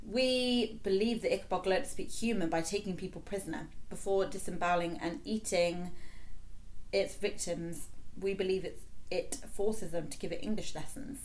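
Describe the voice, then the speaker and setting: A woman voicing a pompous, obsequious fake professor in a storybook, measured and self-important. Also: mid-range voice, a little hiss or hum, natural voice, rough recording. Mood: grave.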